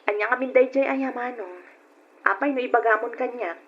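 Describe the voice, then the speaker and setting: A woman's voice: fairly high pitch, a little fast, highs cut off, slight background noise, natural voice. A woman acting in an old radio drama as a suspicious, protective wife, pointed and reproachful. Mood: pointed, wary. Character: suspicious, protective wife wary of freeloading relatives.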